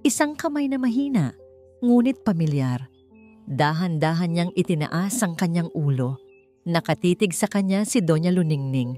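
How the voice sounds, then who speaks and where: A woman with a fairly low voice reads a romantic drama as a storyteller. Her delivery is measured, suspenseful and melodramatic. Music plays clearly beneath the narration. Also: lively intonation, dark tone.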